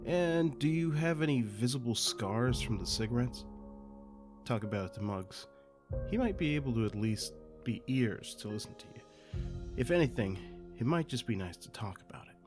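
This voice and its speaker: A man voices an earnest, curious questioner in a comic dub. The pitch moves in an animated way. Music sits behind the voice. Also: moderate pace, lightly acted. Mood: concerned. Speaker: asker putting questions to the characters. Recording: music under the voice.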